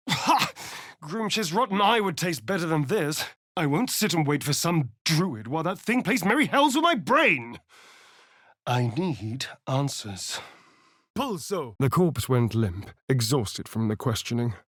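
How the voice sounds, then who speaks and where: A man's voice: on the high side, fairly bright tone, no echo, studio quality, full character voice. A man with a British accent playing a sly, vain vampire spawn rogue in a video game, with an arch, sardonic, theatrical delivery. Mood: indignant, determined, then forceful incantation.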